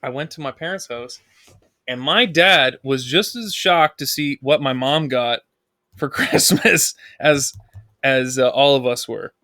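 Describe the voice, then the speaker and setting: A man's voice: medium pitch, measured pace, sharp tone, no room sound, clean studio sound. A married man co-hosting a casual talk show, making his point in an earnest way and steering the talk back to it. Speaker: podcast co-host. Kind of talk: casual chat.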